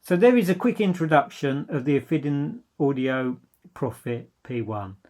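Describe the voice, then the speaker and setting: A man's voice: medium pitch, lively intonation, moderate pace, darkish tone. A man presenting an informal product review as a dealer, speaking in an enthusiastic, emphatic way.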